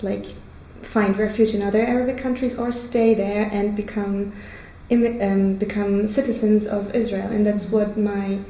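A woman giving a measured, explanatory personal account in an interview, pausing to find her words. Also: mid-range voice, little pitch movement, mellow. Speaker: peace activist interviewee. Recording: echoey room with background noise.

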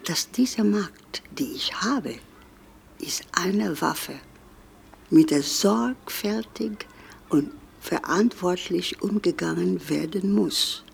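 Woman with a husky, gravelly voice reads her own poem slowly and meditatively; lower register. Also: animated. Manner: slow, meditative reading. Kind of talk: reading her own autobiographical poem and text.

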